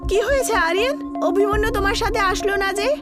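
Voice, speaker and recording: A woman voicing an anxious, questioning wife, agitated and shrill, in a horror cartoon. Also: a little fast, over loud music. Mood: concerned.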